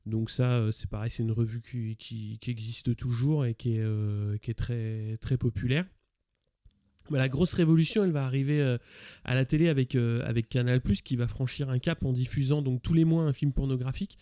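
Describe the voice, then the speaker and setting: Mellow, closely recorded man explaining informally. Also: mid-range voice, fairly even intonation, normal speed, no room sound, studio quality. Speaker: podcast contributor.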